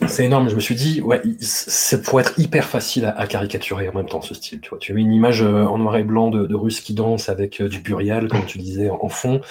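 A man's voice: on the low side, steady tone, fast, hardly any pauses, darkish tone, husky. A man hosting a casual podcast discussion, speaking in articulate, literate phrases. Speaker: podcast host.

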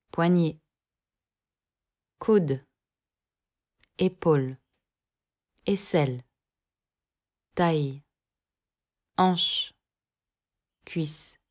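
Female yoga nidra guide: lowish pitch, very unhurried delivery, calm and measured scripted instructions, plenty of space between phrases. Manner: calm, measured, short phrases with long pauses.

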